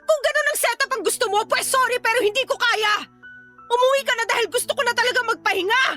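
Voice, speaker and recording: A woman's voice: extremely high pitch, fast, bright, forceful tone, faint music behind. A woman playing a hurt, weary young working girlfriend in an emotive Filipino radio drama. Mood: hurt, exasperated.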